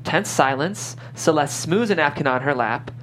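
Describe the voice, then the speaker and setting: A woman's voice: low voice, animated, moderate pace, slight background noise, rough recording. A woman hosting a show, reading script directions and interviewing writers. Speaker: show host and script narrator.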